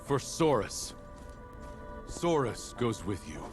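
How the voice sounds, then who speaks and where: Earnest soldier captain, lively pitch. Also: male voice, slow, over music. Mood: earnest, proud. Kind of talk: dramatic video game acting.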